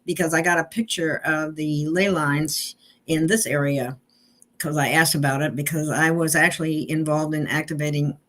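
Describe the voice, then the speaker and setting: A woman interviewer chatting casually, often halting between phrases with pauses and ums. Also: low-pitched, steady tone, a bit bright. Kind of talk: casual conversation.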